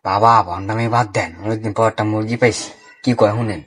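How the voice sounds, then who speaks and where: A man's voice: on the low side, fairly even intonation, a little fast, breathy edge, no room sound. A man playing a brash, cocky young fellow in an amateur comedy horror skit, with music behind him. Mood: sly. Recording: loud music over the voice.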